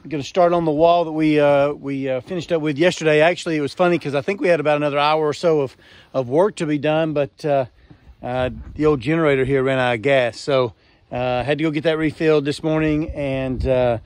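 A man in his forties with a Southern US accent, vlogging casually from his car and a building site, rambling with lots of fillers. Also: fairly high pitch. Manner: folksy, rambling with many fillers. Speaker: homestead vlogger. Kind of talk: casual vlog talk to camera. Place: in a moving car, then outdoors at a framed cabin site. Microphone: phone or camera held at arm's length. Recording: loud wind and road noise.

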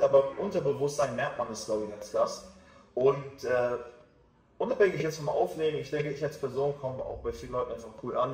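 A man in his twenties, a DJ, talking about his music in a relaxed, self-assured way during a casual interview. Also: medium pitch, far from the mic. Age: 20s. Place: small backstage room with sofa, indoors. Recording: echoey room, voice off-mic.

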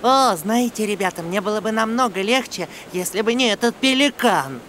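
A man's voice: high voice, lively intonation, normal speed, a bit bright, a little hiss or hum. A man voicing a small, dramatic, bookish monkey in a dubbed children's cartoon, theatrical and exclamatory. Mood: earnest. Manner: exclamatory, theatrical, self-talking. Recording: music under the voice.

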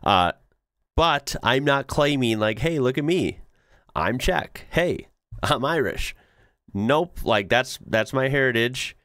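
A man's voice: medium pitch, lively intonation, takes it slowly, fairly bright tone, no echo. An American man in his thirties hosting a casual reaction video from a small home studio, talking in loose, easygoing asides into a close mic with a headset on. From United States. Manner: easygoing, chuckling, talks in loose run-on asides. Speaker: reaction channel host. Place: small home studio room with decorated walls.

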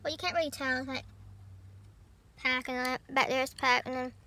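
A woman's voice: high-pitched, steady tone, moderate pace, bright, forceful tone, a little hiss or hum. A young woman chatting casually to her phone camera in a parked car, rambling with lots of fillers and restarts. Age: young adult. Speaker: vlogger. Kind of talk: casual vlog chat. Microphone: phone camera held close.

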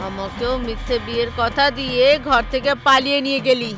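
A woman's voice: fairly high pitch, a little fast, lightly acted. A woman voicing a vengeful female witch spirit who taunts and accuses with scorn, over background music. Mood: scornful.

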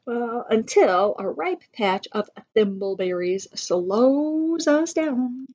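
A woman's voice: a little high, expressive, slow, darkish tone. A middle-aged woman reads a picture book aloud, adding explanatory asides and pointing things out for children. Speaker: read-aloud teacher. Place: small room at home. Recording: dull lo-fi webcam sound.

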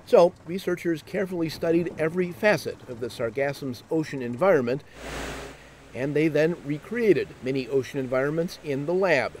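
A man giving a scripted, measured narration for a science news segment. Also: a little high, a little mellow, a little hiss or hum.

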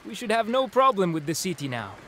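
An actor playing a proud, headstrong young military captain from an ancient myth, earnest and dramatic, in a video game. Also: male voice, high-pitched, very animated, fairly quick, sharp tone, slight background noise, heavily acted. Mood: confident, resolute.

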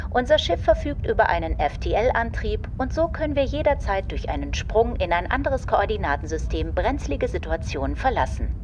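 A woman's voice: mid-range voice, background noise, close to an everyday voice. A woman playing a courteous spaceship flight attendant making formal, composed announcements in a radio play. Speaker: narrator. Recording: music behind the voice. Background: music.

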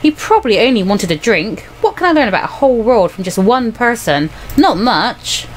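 A woman's voice: fairly high pitch, very animated, moderate pace, a little hiss or hum, poor audio. A woman voicing a haughty, naive young student girl in a visual novel, prim and dismissive. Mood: exasperated, dismissive.

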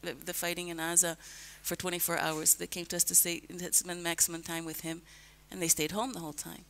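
An older woman from the United States answering questions in a calm, measured, earnest way on a radio interview, speaking into a headset mic in a broadcast booth. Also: fairly low pitch, vocal fry, low-quality recording. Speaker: guest, resident.

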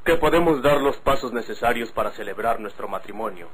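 A man's voice: medium pitch, animated, a little fast, slightly rough, poor audio. A polite, careful suitor of means in an old radio drama. He sounds earnest. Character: earnest, cautious, polite wealthy bridegroom. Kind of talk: golden-age Mexican radio drama acting.

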